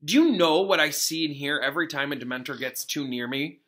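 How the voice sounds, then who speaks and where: A man's voice: on the high side, a little fast, a bit bright, natural voice. A man reading a storybook aloud as a troubled, brooding schoolboy wizard, defensive and impassioned.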